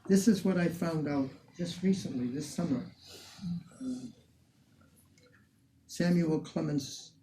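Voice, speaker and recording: An older man, a museum curator, telling animated anecdotes to a small audience. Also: a little high, takes it slowly, pauses often, a little hiss or hum, poor audio. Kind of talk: storytelling talk to an audience.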